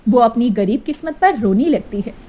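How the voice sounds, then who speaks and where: A woman's voice: a little high, lively intonation, normal speed, warm tone, slight background noise. A woman narrating a scripted moral tale for a cartoon in a dramatic storytelling style. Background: clear music.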